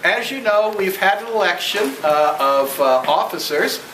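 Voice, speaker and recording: A man giving a courteous, ceremonious and halting welcome speech as a club president, recorded at a distance in a noisy room. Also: high voice, lively intonation, echoey room.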